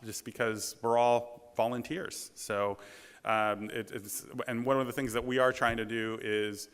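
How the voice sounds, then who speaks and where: Booming man in a lecture hall. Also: bright, forceful tone, smooth. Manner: halting, many ums and restarts. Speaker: conference speaker, task force president. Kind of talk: conference presentation. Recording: reverberant hall.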